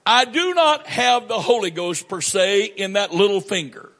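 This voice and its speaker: A male pastor preaching a sermon in a church, reading scripture aloud and then explaining it in a measured, emphatic way with pauses. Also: sits high, animated, fairly bright tone.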